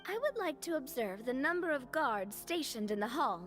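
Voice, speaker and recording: A woman's voice: high-pitched, expressive, moderate pace, a bit bright. A woman voicing a bold, theatrical young adventurer who talks with grand confidence, in a video game. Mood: confident. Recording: game audio with clear music underneath.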